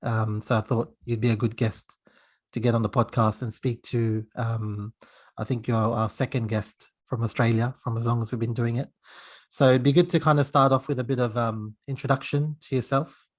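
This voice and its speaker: A man hosting an interview podcast, speaking over a remote call in a steady conversational way. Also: mid-range voice, moderate pace. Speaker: podcast host.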